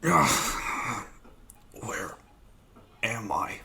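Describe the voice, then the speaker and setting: A man's voice: deep voice, extremely slow, background noise. A man voicing a hot-tempered young male warrior, blunt and combative, in a dramatic reading. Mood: pained, confused. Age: young adult. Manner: blunt, combative, shouting outbursts. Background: background music.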